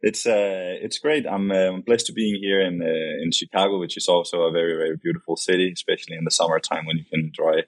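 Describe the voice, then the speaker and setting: A man in his late twenties with a Danish accent, chatting casually and haltingly as a modest interview guest. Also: low voice, fairly even intonation, dry recording. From Denmark, grew up in Copenhagen. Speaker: podcast guest, company vice president.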